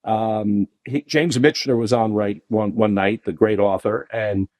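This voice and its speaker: A middle-aged man, a podcast guest telling long animated anecdotes full of restarts. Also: a little slow, no room sound. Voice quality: deep voice. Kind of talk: casual storytelling interview.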